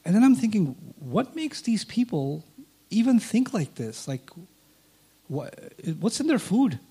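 Husky man telling his story. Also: sits high, measured pace. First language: Urdu. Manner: animated, acts out past conversations. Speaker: interview guest. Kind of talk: personal storytelling.

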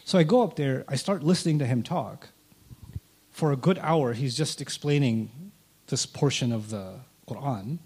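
A man's voice: mid-range voice, normal speed, slightly rough. A man whose first language is Urdu, a guest telling a personal story and acting out past conversations. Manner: animated, acts out past conversations. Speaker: interview guest.

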